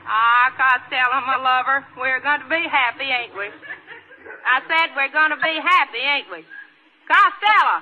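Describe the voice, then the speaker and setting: An old-time radio hillbilly gal played by an actress, high-pitched, bossy and eager to be wed. She talks in folksy backwoods slang, toting her gun like she means it. The recording is old and noisy. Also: normal speed, narrow, tinny audio, lightly acted. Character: brash gun-toting hillbilly bride-to-be, eager young woman. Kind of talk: 1940s radio comedy acting.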